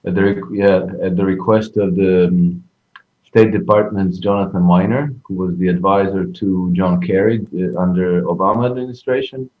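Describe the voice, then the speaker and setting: A man's voice: deep voice, fairly even intonation, normal speed. A man from Croatia speaking casually as a guest author, understated and a little hesitant. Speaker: author and blogger, show guest. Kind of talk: casual conversational introduction. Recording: dull, band-limited connection.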